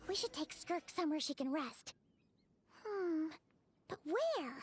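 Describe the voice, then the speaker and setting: A woman voicing a tiny, excitable fairy companion in a video game, chattering in a high squeaky voice and calling herself by name. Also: unhurried, exaggerated voice. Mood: puzzled. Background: game music.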